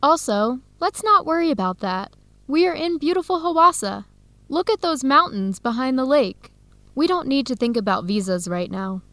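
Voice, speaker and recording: Young female researcher for educational radio drama: warm, upbeat, fairly high voice, clear simple lines. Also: lively intonation, normal speed, no echo. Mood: cheerful. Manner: clear, simple phrasing for English learners.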